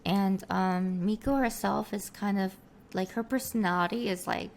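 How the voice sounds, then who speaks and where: Soft-spoken young woman. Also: mid-range voice, steady tone, creaky, slight background noise. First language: Korean. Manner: soft-spoken, halting, many fillers, laughs often. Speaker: interview guest, streamer and engineer. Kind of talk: casual video call interview. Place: small home streaming room. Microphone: headset with desk mic on video call.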